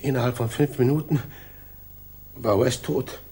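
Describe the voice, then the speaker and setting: An actor in a German radio drama playing a cornered, fearful colonel confessing as a traitor, halting under pressure. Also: male voice, mid-range voice, fairly even intonation, dark tone, slightly rough. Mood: tense confession. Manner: halting, stammering when pressed.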